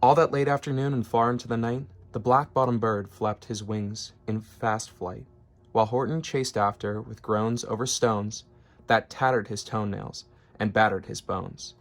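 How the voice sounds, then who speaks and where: A man's voice: lowish voice, steady tone, moderate pace. A man reading a rhyming children's story aloud expressively, as narrator. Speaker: storybook reader.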